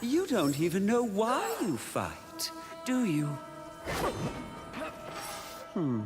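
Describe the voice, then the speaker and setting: Seductive game villain: taunting, theatrical, very slow, lapsing into whispers, long reverb with music. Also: female voice, mid-range voice, huge pitch swings, heavily acted. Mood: taunting, persuasive. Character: Lust, seductive, taunting, silky embodiment of sin. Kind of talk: dramatic video game acting.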